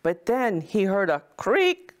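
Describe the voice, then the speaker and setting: A woman reading a children's storybook as a kindly Mrs. Claus, in a deliberate, expressive way. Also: medium pitch. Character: Mrs. Claus, kindly motherly wife of Santa.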